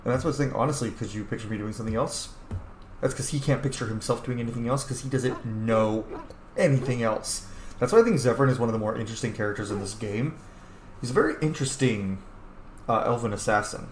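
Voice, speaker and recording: Male gaming host, mid-pitched, informal and thoughtful, loose with swearing; roomy recording with slight background noise. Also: quick pace. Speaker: let's play host. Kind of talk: casual roleplay game commentary.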